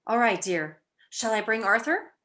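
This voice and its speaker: Woman playing a wry, bright-voiced clever wife. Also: on the high side, lively intonation, normal speed. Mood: composed. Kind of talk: 1940s detective radio-play acting.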